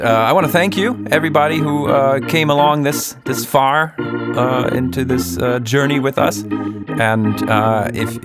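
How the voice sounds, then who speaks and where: A man hosting and narrating a children's story podcast in a gently humorous way. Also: mid-range voice.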